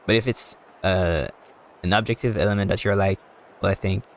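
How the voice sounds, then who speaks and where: A man's voice: deep voice, fairly even intonation, moderate pace, slight background noise. A man streaming a video game, chatting casually in a rambling, self-deprecating way. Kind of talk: casual livestream chat and game commentary.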